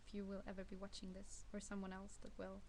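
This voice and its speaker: A woman's voice: mid-range voice, completely flat delivery, fast talker, a little mellow, slight background noise. A young Swedish woman, not a native English speaker, talking hesitantly in a casual personal vlog, with many fillers and restarts. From Sweden. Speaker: vlogger.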